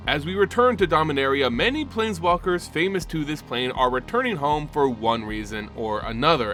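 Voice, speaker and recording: Man narrating with highly animated pitch over music. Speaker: host and narrator of a fantasy lore channel. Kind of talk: scripted dramatic storytelling narration. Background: background music.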